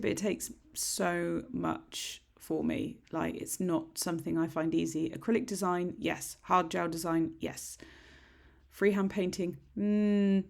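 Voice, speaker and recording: Husky woman, gravelly. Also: fairly low pitch, darkish tone. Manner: chatty, rambling, self-deprecating. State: husky, a bit croaky. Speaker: nail technician. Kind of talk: casual tutorial chat. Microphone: desk microphone.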